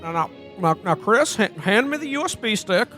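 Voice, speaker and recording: A man's voice: high voice, big pitch swings, normal speed, sharp tone, faint music behind, heavily acted. A man playing an earnest, indignant everyman in a parody radio play, stammering and exasperated in a folksy old-movie manner. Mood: panicked, impatient. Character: flustered, indignant earnest everyman podcaster hero.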